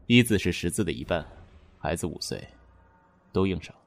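An actor playing an eerie, matter-of-fact fortune teller in an audio drama, speaking in a measured, solemn, unyielding way. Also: male voice, low voice, lively intonation, vocal fry, a little hiss or hum. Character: eerie, matter-of-fact male fortune teller who divines from written characters. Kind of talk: Chinese audio drama acting.

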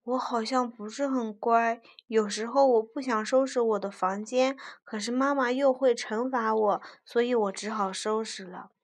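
A woman's voice: on the high side, steady tone, normal speed, hardly any pauses, natural voice. A woman voicing a small boy in a storybook, sulky and muttering. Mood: glum. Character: small glum questioning boy.

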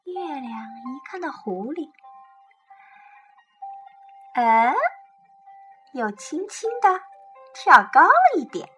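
A woman's voice: high voice, big pitch swings, takes it slowly, long pauses, fairly bright tone. A woman hosting a children's program, telling a picture-book bedtime story expressively and coaxing young listeners along. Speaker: children's storytelling show host. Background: music.

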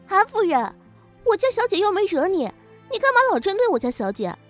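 A woman's voice: very high voice, very animated, normal speed, a bit bright, faint music behind, exaggerated voice. A woman playing a young, loyal, outspoken maidservant in a Chinese period audio drama. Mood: indignant.

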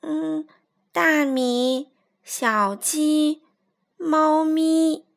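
A woman's voice: high-pitched, little pitch movement, drawn-out words, gravelly, full character voice. A woman voicing a kind, absent-minded young schoolboy in a children's story, friendly and a little hesitant. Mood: flustered.

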